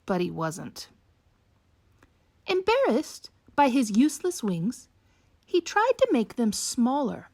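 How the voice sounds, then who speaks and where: A woman reading a children's picture book aloud in an expressive, slow, storytelling manner. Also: a little high. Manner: slow, animated read-aloud delivery for young children. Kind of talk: expressive bedtime storybook narration.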